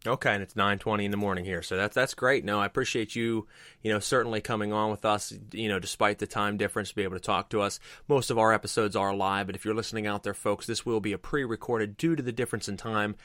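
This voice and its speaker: A man hosts a talk show, welcoming his audience and guest with eager energy and frequent fillers. His voice sits on the low side. The recording is dry, with no room sound. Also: fairly even intonation, quick pace, a bit bright, no echo. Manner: enthusiastic, promotional, frequent "um" and "uh". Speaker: host.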